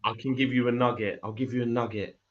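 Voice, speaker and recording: A man, a crypto project founder, talking in a livestream interview with a croaky voice from illness, often repeating words and restarting his sentences. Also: mid-range voice, little pitch movement, fast talker, highs cut off.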